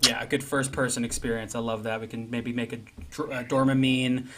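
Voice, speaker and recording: A man's voice: medium pitch, flat delivery, brisk, a bit bright, natural voice. A man playing an enthusiastic, pretentious commercial audition director, effusive and rambling in improvised comedy banter. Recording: background noise and clicks.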